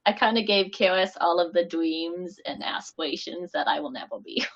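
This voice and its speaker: A woman's voice: fairly low pitch, moderate pace. Chatty woman on a dull video call. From Canada. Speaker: author guest. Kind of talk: casual interview over video call.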